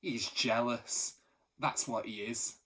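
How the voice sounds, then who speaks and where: Storybook boy, cocky and scornful, a bit husky and raised, read by a man. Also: mid-range voice, steady tone, takes it slowly, exaggerated voice. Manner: scornful, forceful. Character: scornful cocky young London street boy.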